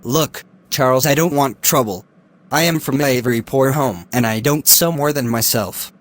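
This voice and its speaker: A man's voice: mid-range voice, normal speed, natural voice. A young man, acting a cautious student who firmly refuses to get involved. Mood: dismissive. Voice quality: firm, dismissive refusal. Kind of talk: amateur drama acting.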